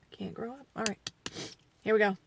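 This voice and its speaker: A woman's voice: medium pitch, fairly even intonation, brisk, gravelly, background noise. A woman in her forties reviewing a foundation in a chatty, rambling way, a bit sniffly from allergies. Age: 40s. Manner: chatty, rambling, self-deprecating; quieter and mumbling while applying makeup. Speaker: beauty vlogger. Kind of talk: casual makeup review.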